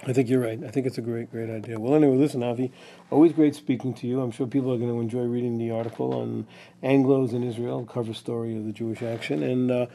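Man with a dark, gravelly voice. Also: mid-range voice, fast. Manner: relaxed, chatty questioning. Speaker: interview host. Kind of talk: conversational interview.

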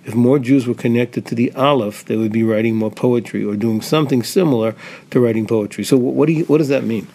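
A man's voice: mid-range voice, fast talker, few pauses, warm tone. A man hosting an interview, steering it with short acknowledgements and clarifying questions. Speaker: radio host.

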